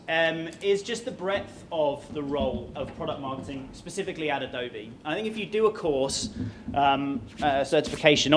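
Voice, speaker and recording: A man's voice: medium pitch, background noise. A man speaking on stage at a conference into a lapel mic, talking in a conversational way with many ums and restarts. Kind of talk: conference presentation. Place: conference stage before an audience. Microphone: lapel mic pack.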